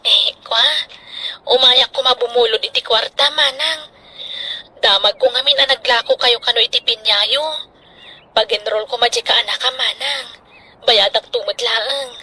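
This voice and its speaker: A hard-up Ilocano mother in a radio drama. Her voice is very high and bright. She is timid and apologetic, hesitating as she pleads. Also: normal speed, husky, low-quality recording. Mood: hesitant, pleading. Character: timid, hard-up mother asking to borrow money.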